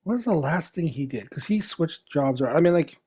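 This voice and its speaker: A middle-aged man from the Philippines, a guest chatting casually in an interview, relaxed and chuckling as he talks. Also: fairly high pitch, brisk, breathy edge. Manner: relaxed, chuckling, trails off and restarts.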